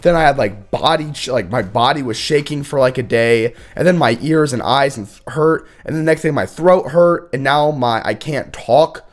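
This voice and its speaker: A young man hosts a casual college football show while sick, his voice hoarse and worn thin. He rambles, restarts sentences and stops to clear his throat, keeping his pitch in an ordinary middle range. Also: moderate pace, hardly any pauses. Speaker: host. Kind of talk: casual sports commentary.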